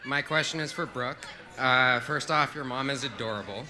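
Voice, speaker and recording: A man's voice: medium pitch, normal speed, pushed and bright. A man in the audience politely asking a question, heard from a distance. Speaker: audience member. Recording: far from mic, crowd noise.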